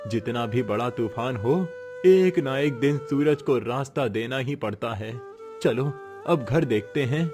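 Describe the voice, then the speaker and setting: A man's voice: medium pitch, unhurried, over music, natural voice. A man acting a poor, weary but resolute village father, earnest and comforting, in a cartoon moral story. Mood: solemn, reassuring.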